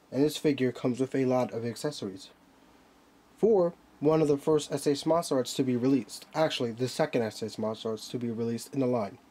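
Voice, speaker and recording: Brief: man, mid-pitched, nasal and stuffy from a cold, chatty toy-review host walking through a figure. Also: warm tone, slightly rough. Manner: chatty, explains while handling a figure.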